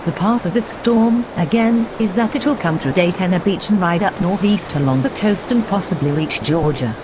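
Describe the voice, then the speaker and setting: A woman's voice: lowish voice, lightly acted. A woman playing a prim, short-tempered storm reporter in an amateur audio drama. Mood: composed, curt. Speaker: weather reporter. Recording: steady rushing storm noise.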